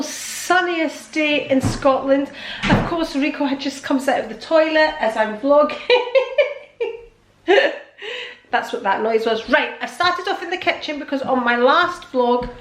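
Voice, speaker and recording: A woman's voice: sits high, fairly bright tone, slight background noise. Chatty woman in her sixties, echoey kitchen. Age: 60s. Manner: chatty and cheerful, rambling. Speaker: vlogger. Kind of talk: casual chat to camera. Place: home kitchen.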